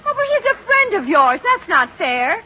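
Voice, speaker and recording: An actress playing a wry, indignant American wife in an old-time radio comedy. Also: female voice, very high-pitched, sing-song, moderate pace, bright, forceful tone, full character voice. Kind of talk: 1940s-50s radio comedy acting. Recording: muffled old radio recording, crowd noise.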